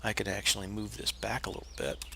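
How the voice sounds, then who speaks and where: A hoarse, raspy man who is feeling unwell, casually talking viewers through a game build step by step with plenty of pauses and restarts. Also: fairly low pitch, slight background noise. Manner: relaxed, explanatory, with frequent uh fillers and restarts. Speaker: game tutorial narrator. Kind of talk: casual step-by-step gameplay tutorial.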